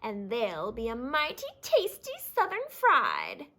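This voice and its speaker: A woman's voice: a little high, huge pitch swings, slow pace, sharp tone, full character voice. A woman voicing a sly, greedy male fox villain who gloats and sneers in a children's storybook. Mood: greedy, scheming. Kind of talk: children's storybook read-aloud.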